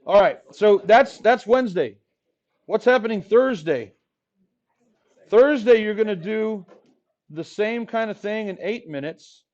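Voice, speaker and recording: Playful, high-voiced, hoarse math teacher. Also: male voice, lively intonation, a little slow, pauses often, a little mellow. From Texas Rio Grande Valley. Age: 40s. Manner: playful, jokey, talks to the class. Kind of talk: classroom lecture. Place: classroom. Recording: dull lo-fi classroom recording.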